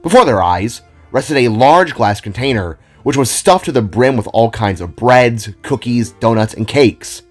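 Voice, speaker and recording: A man's voice: mid-range voice, expressive, measured pace, few pauses. A man giving a scripted narration of an audio drama, as its storyteller. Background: music.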